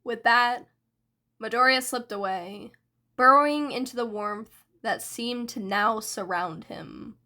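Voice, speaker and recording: A woman reading fanfiction aloud in soft, unhurried audiobook narration. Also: on the high side. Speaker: fanfiction reader.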